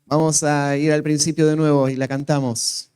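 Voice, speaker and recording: A man's voice: on the high side, little pitch movement, normal speed, a little mellow, clear, resonant tone. A man working as a church interpreter, relaying a speaker's words live in short turns.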